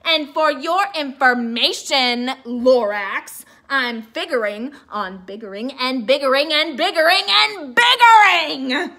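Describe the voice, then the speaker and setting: A woman's voice: high-pitched, slow pace, exaggerated voice. A woman voicing a greedy, self-important male factory owner in a storybook, blustering and hammering his words. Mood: angry, defiant. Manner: blustering, emphatic, repeats words forcefully.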